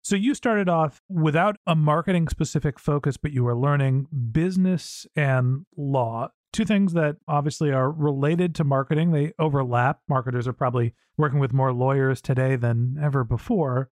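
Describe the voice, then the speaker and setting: Podcast host guy, kinda high voice, upbeat, super dry close mic. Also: moderate pace, few pauses, darkish tone, dry recording. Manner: upbeat, scripted intro then friendly questions. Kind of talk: podcast interview hosting.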